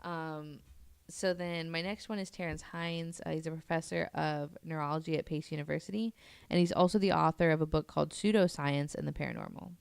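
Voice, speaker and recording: A woman's voice: low voice, fairly even intonation, brisk, vocal fry, clear, resonant tone, no echo. A woman talking casually and informally as a guest on a podcast, walking through what she has read. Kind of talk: casual podcast talk, presenting and paraphrasing an article.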